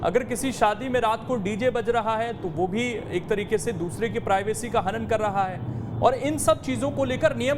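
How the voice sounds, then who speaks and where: A man hosting a live news debate, putting long, reasoned questions in a measured way. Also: very high voice. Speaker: TV debate host. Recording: very noisy broadcast audio.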